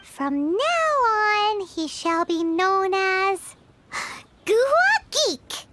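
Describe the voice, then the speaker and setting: Very high, bouncy, slow-talking female sprite, cheeky and whiny, game audio with noise. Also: big pitch swings, exaggerated voice. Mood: annoyed. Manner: whiny, cheeky, talks of herself by name. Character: tiny floating female companion sprite, bratty and chatty.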